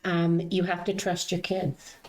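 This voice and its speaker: An older woman hosting a chat and reading aloud from her own novel, agreeable and quick with "yeah". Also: on the low side, little pitch movement, a little mellow, low-quality recording. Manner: agreeable, frequent "yeah" backchannels. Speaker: podcast host and author reading her novel. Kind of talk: casual chat and book reading.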